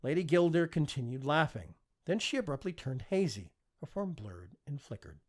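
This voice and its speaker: Male audiobook narrator: dramatic and emphatic, mid-pitched husky voice, very expressive intonation. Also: moderate pace. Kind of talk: dramatic scripted fiction narration.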